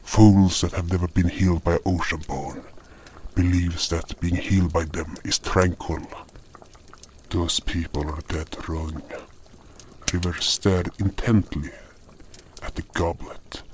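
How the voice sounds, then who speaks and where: Deep-voiced man narrating fantasy. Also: steady tone, slow, background noise. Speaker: narrator and voice actor. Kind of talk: scripted first-person fantasy narration.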